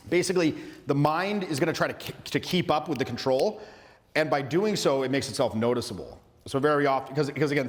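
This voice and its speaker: Playful coach in a reverberant hall. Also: male voice, fairly high pitch, a bit bright. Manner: playful, loose, lots of filler words and restarts. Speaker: social skills coach. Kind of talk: energetic live workshop teaching.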